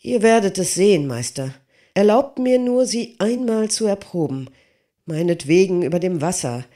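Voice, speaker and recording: A reader voicing a clumsy, childlike giant blacksmith who pleads loudly and desperately with his master. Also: mid-range voice, animated, moderate pace, voice pushed up, natural voice. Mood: pleading. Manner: raised, loud, desperate pleading.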